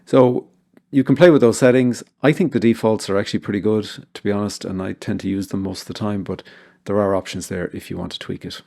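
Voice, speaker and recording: A man narrating an informal software tutorial as its host, explaining things step by step. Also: fairly low pitch, fairly even intonation, a little fast, vocal fry.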